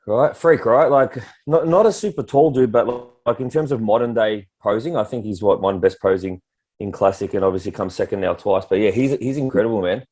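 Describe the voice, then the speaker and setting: A man in his mid-thirties with an Australian accent, co-hosting a coaching podcast in relaxed, easygoing chat. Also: fairly low pitch, fairly quick. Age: 30s. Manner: relaxed, easygoing, many "like" and "you know". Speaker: prep coach podcast co-host.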